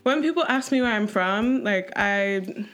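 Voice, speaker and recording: A woman's voice: mid-range voice, expressive, a bit bright. Chatty young woman, music artist. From Nigerian background, raised abroad. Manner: chatty, many fillers, quick to laugh. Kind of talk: casual interview talk.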